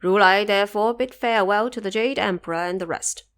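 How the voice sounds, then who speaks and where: A woman's voice: medium pitch, a little fast, fairly bright tone, clean tone. A woman narrating a scripted audio drama in a storytelling style.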